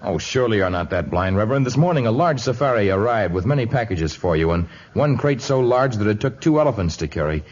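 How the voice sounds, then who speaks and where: An actor playing a noble, loyal jungle hero in 1950s radio drama, speaking in an earnest, formal way. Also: male voice, fairly low pitch, lively intonation, quick pace, hardly any pauses, darkish tone. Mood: earnest, concerned. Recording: muffled, hissy old radio recording.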